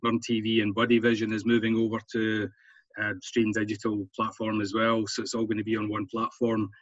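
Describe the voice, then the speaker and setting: A man's voice: lowish voice, monotone, a little fast, dry recording. A man giving an informal, stop-start explanation as a club representative over a lo-fi video call. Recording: dull, lo-fi video call, dry.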